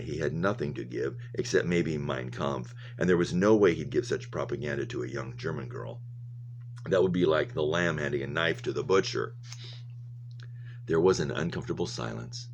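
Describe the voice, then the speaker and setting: A man's voice: very deep voice, vocal fry, a little hiss or hum. A man reading a story aloud in the style of a teacher, with explanatory asides. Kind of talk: read-aloud narration with commentary.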